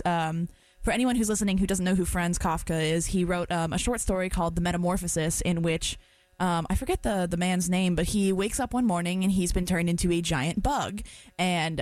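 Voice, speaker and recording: A woman's voice: fairly low pitch, no echo. A young woman hosting a college radio show in an ordinary voice, talking with chatty enthusiasm and lots of "like" and "um". The sound is close and dry. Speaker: radio DJ, host.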